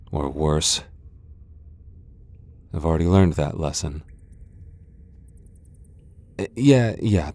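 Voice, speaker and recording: A proud vampire lord played by a man in an ASMR roleplay drama. His voice sits extremely low and has a dark, mellow tone. He comes across as a guarded loner, sardonic and haughty, reluctant to let anyone close.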